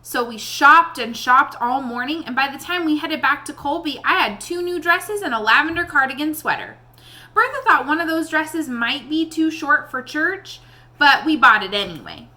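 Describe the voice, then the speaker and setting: A woman reading a children's novel aloud in an expressive storybook style. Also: high-pitched, normal speed. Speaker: read-aloud reader addressing young listeners.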